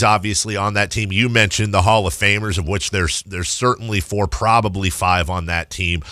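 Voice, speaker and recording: A man's voice: deep voice, sharp tone, no room sound, studio quality. A man hosting a sports talk radio show and interviewing a guest.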